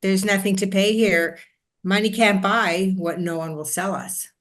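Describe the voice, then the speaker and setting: A woman's voice: mid-range voice, steady tone, measured pace, fairly bright tone, natural voice. A woman playing a brusque, weary doctor in a stage drama, speaking in blunt, clipped phrases.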